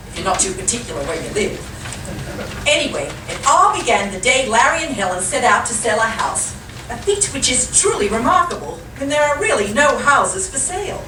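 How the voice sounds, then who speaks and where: A woman's voice: a little high, sing-song, normal speed. A woman performing as the cheery narrator of a comedy radio play, in a scripted theatrical style. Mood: playful, inviting. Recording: echoey hall, distant mic, background noise.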